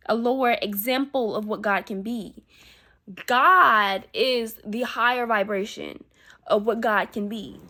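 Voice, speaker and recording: A woman's voice: on the high side, fairly bright tone. A woman vlogging about her spirituality in a casual, rambling monologue, often repeating herself and swearing casually. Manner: rambling, repeats herself, frequent "you know what I'm saying".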